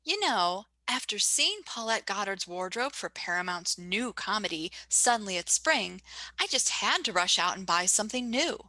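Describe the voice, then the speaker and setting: A woman's voice: mid-range voice, big pitch swings, moderate pace, pushed and bright, dry recording. A woman playing a chatty, gushing Hollywood reporter delivering 1940s-style radio advertising patter. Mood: gushing, friendly.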